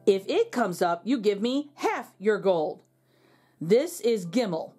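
A playful, crafty storybook man, acted out by a woman reader. He is breezy and teasing, all false innocence, with an animated, raised pitch. Light music sits underneath. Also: slow, breathy edge, heavily acted. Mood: sly, instructive. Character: playful, sly man who outwits goblins. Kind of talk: children's storybook reading.

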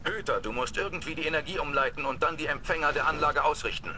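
A man's voice: a little high, quick pace, background noise, close to an everyday voice. A man playing a stern, passionate military commander in a dramatic video game performance. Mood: urgent.

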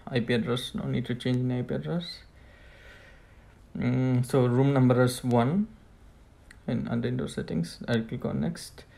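A man's voice: medium pitch, steady tone, normal speed, vocal fry, warm tone. A man presenting a hands-on product setup tutorial in a plain, step-by-step instructional way.

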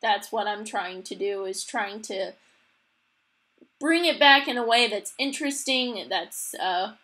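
A young adult woman hosting a podcast, chatting casually in informal, halting, tentative phrases. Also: mid-range voice, lively intonation, pushed and bright. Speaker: podcast host. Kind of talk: casual interview chat.

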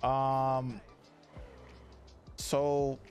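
A man's voice: medium pitch, level tone, drawn-out words, darkish tone, soft background music. A young man streaming casual improvised game roleplay as a deputy, hesitant and repeating himself, on a close mic. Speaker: streamer playing a sheriff's deputy in online roleplay. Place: small indoor streaming room.

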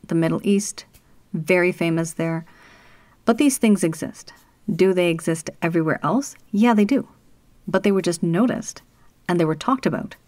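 A woman from Canada giving a slow, earnest talk about spirits as a spiritual teacher, with pauses and the odd whispered word. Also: fairly low pitch, a little mellow, dry recording. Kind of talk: solo spoken talk on spirits.

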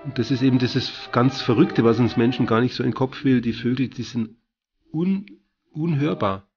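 A man's voice: mid-range voice, normal speed, darkish tone, highs cut off, faint music behind. A middle-aged man explaining things as an interviewed nature expert. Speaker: owl expert being interviewed.

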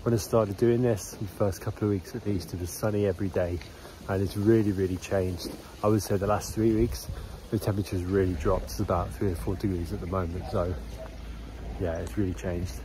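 Upbeat deep-voiced man outdoors. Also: fairly even intonation, a little fast, background noise. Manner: upbeat, chatty weather updates. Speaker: travel vlogger. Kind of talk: daily outdoor vlog. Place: outdoors in a city. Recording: background music over outdoor ambience.

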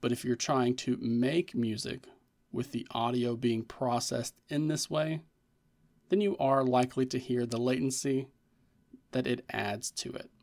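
A man narrating a scripted tech tutorial as its host, in a measured, explanatory manner. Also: mid-range voice, fairly even intonation, darkish tone.

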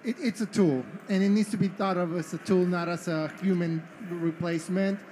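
High-pitched male panelist, lively and rambling, light hiss behind. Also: moderate pace. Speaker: panel guest. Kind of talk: casual panel chat.

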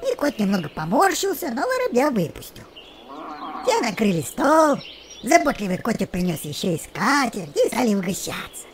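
A woman narrating a scripted children's story in an expressive, animated way. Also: fairly high pitch, moderate pace, a crowd in the background. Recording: noticeable background noise with birdsong. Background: birdsong and nature ambience.

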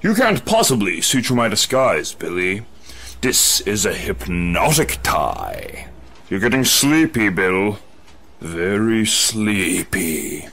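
A man's voice: lowish voice, very animated, takes it slowly, fairly bright tone, heavily acted. A man playing a big, naive, blithely cheerful superhero in a hammy comic-book dub, bombastic in manner. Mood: blithe, scheming. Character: dim, blithely cheerful, oversized male superhero in clumsy disguise.

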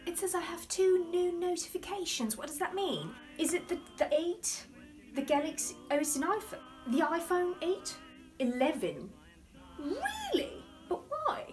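A woman's voice: very high-pitched, animated, normal speed, darkish tone, faint music behind. A woman playing a rambling, easily distracted older lady who is baffled by technology, talking to a phone camera. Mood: rambling, genteel. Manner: rambling, easily distracted, prim yet crude. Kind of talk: comedic character monologue to camera.

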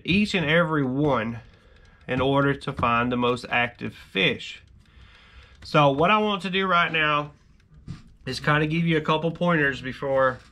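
A man's voice: fairly high pitch, sharp tone. A man in his thirties, a fishing channel host, explaining to camera in a chatty, easygoing way full of "you know" fillers, from a workshop at desk distance. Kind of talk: casual instructional talk to camera. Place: cluttered garage workshop, indoors.

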